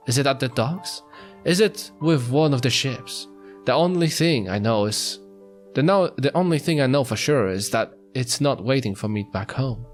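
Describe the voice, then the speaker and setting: A man reading visual novel narration aloud with dramatic delivery, as a let's play host. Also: medium pitch. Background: faint music.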